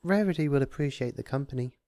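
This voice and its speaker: A man voicing a gentle, apologetic young female pony in a storybook reading, hesitant, stammering and soft-spoken. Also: medium pitch, animated, fast, no echo, studio quality, voice pushed up, close to an everyday voice. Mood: shy, grateful. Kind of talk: storybook character voice.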